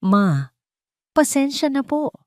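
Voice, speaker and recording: A woman's voice: high-pitched, very animated, slow pace, dry recording, pitched above the performer's own voice, exaggerated voice. A woman storyteller plays a wealthy grown son in a family audio drama. He is protective and full of held-in pain, polite but emphatic in his words. The sound is dry, with no room on it. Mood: remorseful. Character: wealthy adult son, protective, grief-stricken and restrained.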